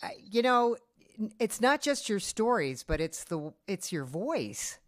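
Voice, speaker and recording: A woman's voice: mid-range voice, sing-song, unhurried, no echo, clean studio sound. A woman hosting an interview, upbeat and encouraging with her guests. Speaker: host.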